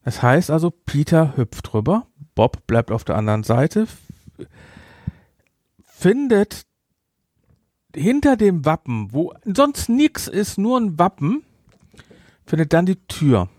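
A man's voice: on the high side, big pitch swings, slow, pauses often, darkish tone, no echo, clean studio sound. A man co-hosting a podcast, chatting casually in a review, hesitant with many fillers and restarts. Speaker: podcast co-host.

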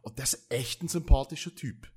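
A man's voice: mid-range voice, normal speed, darkish tone, breathy edge. A man from Switzerland chatting casually as a podcast co-host, agreeable and often interjecting confirmations. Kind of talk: casual chat.

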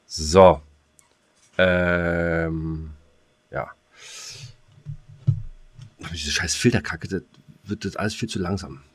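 A man's voice: deep voice, steady tone, slow, long pauses. A man hosting a podcast in casual comedic chat, rambling and joking and often repeating his own phrases. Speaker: podcast co-host.

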